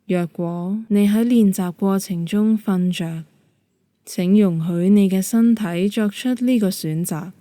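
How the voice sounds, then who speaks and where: A woman with a Hong Kong Cantonese accent leading a guided meditation in a calm, gentle, measured manner. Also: mid-range voice, no room sound. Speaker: yoga nidra meditation guide.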